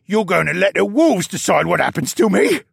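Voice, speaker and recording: A man voicing a cowardly, whiny male captive in an audiobook, high-strung and prone to shrieking. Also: big pitch swings, normal speed, creaky, fairly bright tone, slightly rough, no echo, clean studio sound, full character voice. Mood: shrieking panic. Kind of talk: audiobook character acting.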